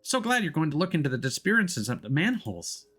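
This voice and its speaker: A timid young boy, played by a man, speaks in a raised, slightly husky voice. He is hesitant but earnest and eager, a lonely kid in a game story with music behind him. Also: on the high side, sing-song, quick pace, a bit bright, voice pushed up, exaggerated voice.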